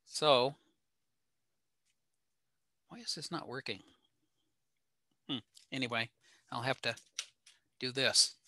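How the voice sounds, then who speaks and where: An older American man giving an informal slide lecture in an explanatory, halting way with frequent pauses. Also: mid-range voice, sharp tone, no room sound, studio quality. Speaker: presenter, ham radio operator. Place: small home room indoors.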